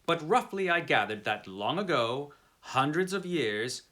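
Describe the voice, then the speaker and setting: A man giving a melodramatic reading of a classic gothic story, slow and theatrical with big swings in loudness. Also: a little high, sing-song. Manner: dramatic, slow, with sweeping rises and drops.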